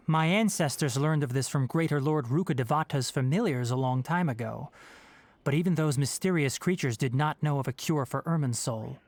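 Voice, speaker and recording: A young man playing a composed, scholarly forest ranger in a video game, articulate, measured and matter-of-fact. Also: medium pitch, natural voice. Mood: calm, grave.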